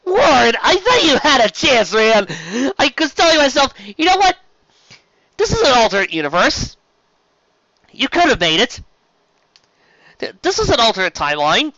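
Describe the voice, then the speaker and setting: An excitable mock mourner, played by a man wails in a whiny, theatrical, much raised voice. The delivery is forceful, and the story recording is dry. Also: extremely high pitch, a little slow, long pauses, dry recording, lo-fi sound, pitched above the performer's own voice, exaggerated voice. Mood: mock grief.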